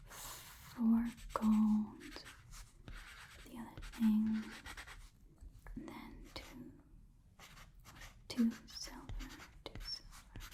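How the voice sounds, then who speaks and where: A woman voicing a chatty, haggling goblin pawn shop keeper in a soft whispered ASMR roleplay, muttering and repeating words to herself. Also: mid-range voice, close to an everyday voice.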